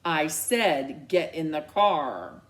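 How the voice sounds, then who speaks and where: A woman's voice: low-pitched, animated, exaggerated voice. A woman voicing a furious, protective mother in a storybook, stern and unforgiving, biting out emphatic words. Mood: icy fury. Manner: slow, emphatic, clipped commands.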